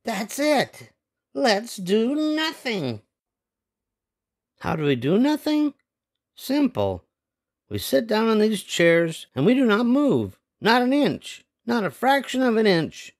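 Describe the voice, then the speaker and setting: An older man puts on restless young cartoon boys from a storybook. The delivery is dramatic and slow, swinging widely in pitch, with a gravelly creak. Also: heavily acted. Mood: bossy, earnest. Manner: expressive, dramatic. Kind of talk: animated storybook read-aloud with character voices.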